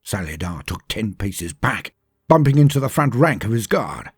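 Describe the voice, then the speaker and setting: A man's voice: fairly low pitch, very animated, moderate pace, husky, no echo. A man narrating a scripted story in a dramatic, theatrical and emphatic manner. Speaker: storyteller and lore channel host.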